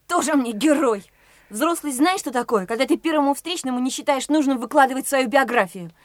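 High, scornful young woman for a radio drama, played by an actress; dry, close sound. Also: a little fast, no room sound, lightly acted. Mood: indignant. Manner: sharp, scornful, indignant. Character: indignant young woman fending off a pest. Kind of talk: studio radio play acting.

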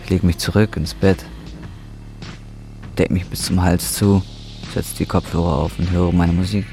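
A man's voice: deep voice, flat delivery, normal speed, dark tone, faint music behind. An actor playing a troubled, bitter man in his twenties, brooding and intense in radio drama narration and dialogue. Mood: lonely, longing. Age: 20s.

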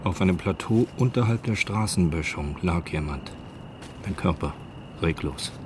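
A man's voice: low-pitched, animated, normal speed, background noise. A man reading scripted narration for a radio drama.

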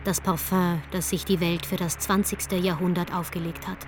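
A woman's voice: fairly low pitch, level tone, normal speed, a little hiss or hum. A woman narrator delivering dramatic, poetic scripted narration in a radio play.

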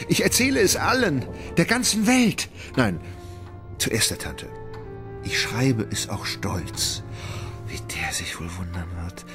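An actor playing a dreamy, hesitant gentleman in love in a radio play, with a husky voice. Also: mid-range voice, expressive, takes it slowly, music in the background. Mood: rapturous. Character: dreamy, hesitant, lovestruck gentleman who puts things off.

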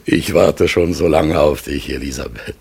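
An actor playing a gentle, melancholy talking raven in a radio play, halting and solemn. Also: male voice, very deep voice, full character voice. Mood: tenderly glad. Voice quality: husky, gravelly, breathy. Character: melancholy talking raven exiled among humans, searching, gentle. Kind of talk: German radio play acting.

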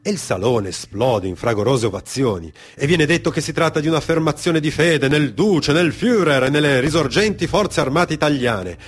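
A man narrating a dramatic monologue for radio theatre, grave and emphatic, in short deliberate phrases. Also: fairly high pitch, expressive, slightly rough. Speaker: storyteller, actor.

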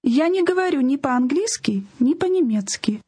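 A woman with a high, mellow voice models phrases for learners. Her pitch rises and falls lively, and she repeats phrases in parts. The sound is dry and close. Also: normal speed, dry recording. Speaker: native-speaker model in a lesson. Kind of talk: scripted lesson demonstration.

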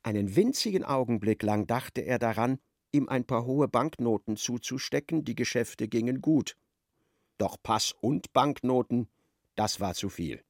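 A man narrating a radio play in an expressive, literary storytelling style. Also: medium pitch, unhurried, dry recording, studio quality.

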